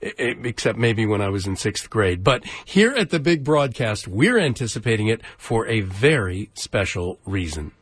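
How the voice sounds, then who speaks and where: A man's voice: lowish voice, sing-song, normal speed, few pauses, slightly rough, no room sound. An American man hosting a radio show in a conversational presenting style.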